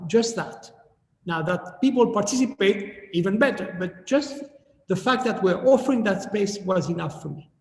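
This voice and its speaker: A middle-aged man from Chile answers questions over a video call in a high, thoughtful voice. He often breaks off and restarts his phrases. The sound is roomy, with a long reverb trailing each word. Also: gravelly, darkish tone. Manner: measured, restarts phrases while thinking. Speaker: artist guest. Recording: echoey room with long reverb.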